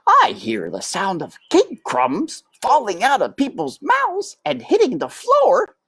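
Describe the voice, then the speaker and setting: A woman's voice: sits high, wildly swooping pitch, takes it slowly, vocal fry, a bit bright, breathy edge, dry recording, clean studio sound, voice pushed up, full character voice. A woman voicing a cocky older brother mouse in a storybook, scoffing and emphatic.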